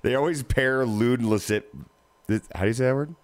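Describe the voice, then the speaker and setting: A man co-hosting a casual podcast, joking and animated. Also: mid-range voice, a little fast, no echo. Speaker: podcast co-host. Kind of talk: casual chat.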